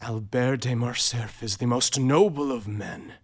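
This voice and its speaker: Slow-paced, wary young gentleman. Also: medium pitch, husky. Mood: desperate, urgent. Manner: curt, dry. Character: young French gentleman, wary, dry, loyal sceptical friend. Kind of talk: audio drama acting.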